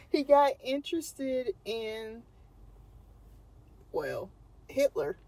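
Young woman, Texan accent, laughs a lot, patient storyteller, slightly high voice. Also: big pitch swings, drawn-out words, mellow, no room sound, rough recording. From Texas. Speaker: podcast co-host telling a true crime story. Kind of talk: casual chat.

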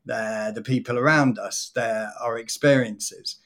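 Guy guest on a podcast, mid voice, starts halting then rolls on thinking aloud. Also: medium pitch, fairly even intonation, fairly bright tone. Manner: reflective, hesitant starts, extended metaphors. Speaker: guest author. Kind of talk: casual podcast conversation.

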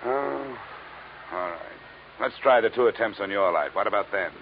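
An actor playing a tough, skeptical insurance investigator in an old radio detective drama, with dry, clipped, world-weary delivery. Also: male voice, lowish voice, animated, moderate pace, creaky. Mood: skeptical, probing. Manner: hard-boiled, dry, clipped. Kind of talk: 1940s-50s radio detective drama acting. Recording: dull, noisy old radio recording.

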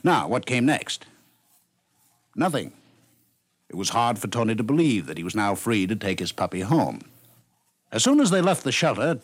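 A man narrating a scripted story for 1950s radio, with measured phrasing and dramatic pauses. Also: on the low side, breathy edge, no echo. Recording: noisy 1950s radio broadcast recording.

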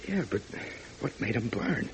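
An actor playing an eager, easily amazed young male sidekick in a 1940s radio drama, stammering and exclaiming, with a gravelly voice. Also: mid-range voice, very animated, a little slow. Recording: hissy old radio broadcast.

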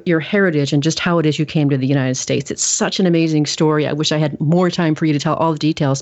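A woman's voice: sits low, a little mellow, muffled recording. A woman hosting an interview, effusive and full of praise for her guest. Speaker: podcast host interviewer. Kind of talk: enthusiastic interview.

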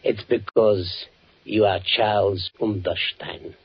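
Creepy gestapo officer, very raspy, slow gloating delivery, muffled old 1940s radio. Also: male voice, lowish voice, fairly even intonation, heavily acted. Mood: gloating menace. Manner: slow, halting, deliberate, savouring his words. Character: menacing male Gestapo officer, coldly gloating.

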